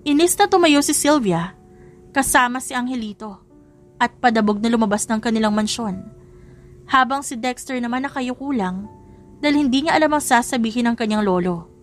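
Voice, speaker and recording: A woman's voice: fairly high pitch, normal speed, soft background music. A woman reading a scripted romance story as a dramatic audiobook narrator.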